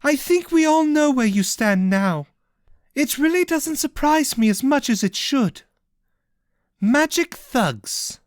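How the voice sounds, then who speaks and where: A storyteller voicing a feverish young woman mage who speaks in a sharp, strained, defiant way. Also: sits high, very animated, a little slow, no room sound, clean studio sound, pitched above the performer's own voice. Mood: scornful.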